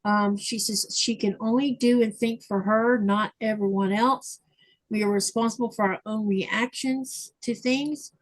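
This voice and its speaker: A woman's voice: a little high, steady tone, unhurried, rough recording. A woman hosting a craft livestream, reading viewer comments aloud in a chatty way with fillers. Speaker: livestream host reading chat. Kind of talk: casual chat. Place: home livestream. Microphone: livestream mic.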